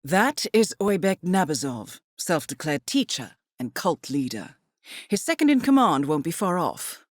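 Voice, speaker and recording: A composed female intelligence handler in a spy video game, speaking on the lower side in a close, dry recording. Her delivery is formal and clipped, with expressive, swooping intonation and a wry edge as she guides an assassin. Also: measured pace, no echo. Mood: composed, businesslike. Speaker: mission handler. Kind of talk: scripted video game briefing voice acting.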